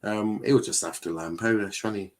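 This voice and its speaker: A young man from England with a fairly low voice, talking loosely through a live football watchalong. He rambles, restarts his sentences and swears casually as the play unfolds. His delivery is relaxed and offhand. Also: moderate pace. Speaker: watchalong streamer. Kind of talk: casual live match commentary.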